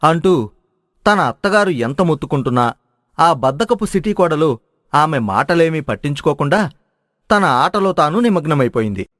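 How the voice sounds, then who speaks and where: A man's voice: on the high side, sing-song, normal speed, long pauses, a bit bright, no echo, clean studio sound. A man narrating a scripted moral story.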